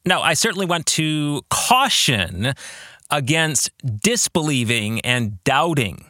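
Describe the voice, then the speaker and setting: A middle-aged American man speaks as a podcast host in a reflective, discursive way. His voice is bright and forward, with lively swings in pitch. The recording is dry and close, suited to putting questions to a listener. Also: slow, dry recording.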